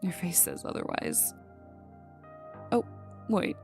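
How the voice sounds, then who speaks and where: A woman voicing a cocky, teasing, gruffly protective young villain enforcer in an intimate ASMR roleplay, with a casual drawl that drops into whispers. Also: fairly low pitch, huge pitch swings, slow pace, darkish tone, exaggerated voice. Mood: teasing reassurance. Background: ambient music.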